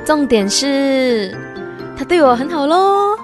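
High-voiced, playful young student played by a woman. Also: big pitch swings, slow, over music, heavily acted. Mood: chatty, fond. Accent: Malaysian Chinese. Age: young adult. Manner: coy, teasing, particle-heavy colloquial speech. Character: bubbly, playful 23-year-old female university student in love. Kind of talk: radio drama acting.

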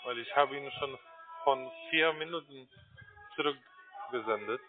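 A man's voice: mid-range voice, unhurried, soft background music. A man working as a phone agent, giving a customer terse, insistent instructions. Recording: narrow, muffled phone line.

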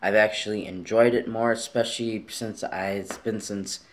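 A man's voice: lowish voice, steady tone, normal speed. A young man vlogging casually from his bedroom, talking in a rambling, easygoing way with plenty of fillers, recorded on a handheld camera at arm's length. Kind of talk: casual vlog talk to camera. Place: bedroom at home, indoors.

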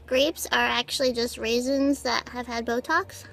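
A woman in her twenties with a very high, childlike voice, doing short comedy sketches in a playful, bubbly way, filmed on a phone held at arm's length. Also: fairly even intonation, gravelly, fairly bright tone. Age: 20s. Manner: playful, bubbly, quick jokes, swings into squeals. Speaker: comedy video creator. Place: at home, in cars and outdoors. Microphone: phone camera at arm's length.

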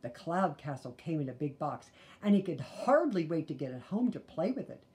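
A woman reads a children's storybook aloud, deliberate and expressive, with chatty asides. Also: sits low. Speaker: storybook reader. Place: small living room, indoors.

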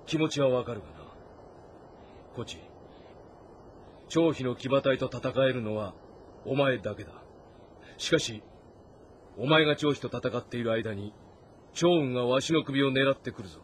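A man's voice: mid-range voice, normal speed, long pauses, a little hiss or hum, lightly acted. A man playing a calculating ancient Chinese warlord in a historical game, brooding and authoritative in delivery. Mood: stern. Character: commanding, calculating male warlord of ancient China. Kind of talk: dramatized historical game monologue.

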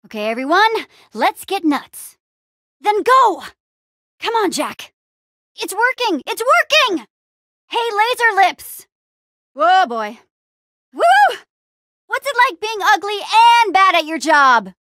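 A woman playing a plucky, bossy but encouraging young adventurer heroine in a video game, with an expressive, casual, peppy delivery. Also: high voice, measured pace, no echo, studio quality. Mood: excited, urgent. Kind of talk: animated adventure game dialogue.

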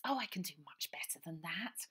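A woman reading aloud and voicing a posh, plump, theatrical young girl in a high, gushing manner. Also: lively intonation, moderate pace, sharp tone, husky, heavily acted. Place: small room indoors.

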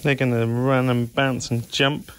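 A man giving a relaxed, chatty tour of his farm, filmed on a handheld phone outdoors and in sheds. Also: mid-range voice, unhurried, slight background noise. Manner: relaxed, gentle, chatty with a child. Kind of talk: casual walking farm tour vlog. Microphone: handheld phone camera.

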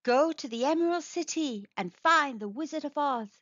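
A woman's voice: sits high, animated, moderate pace, a bit bright, no room sound, lo-fi sound, voice pushed up. A woman voicing a kindly storybook good witch, giving guidance in an urging way.

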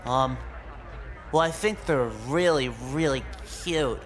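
A man's voice: a little high, slow pace, a little hiss or hum. An actor voicing an awkward, nerdy teenage boy in a visual novel, hesitant and eager to please. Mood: sheepish, confessing.

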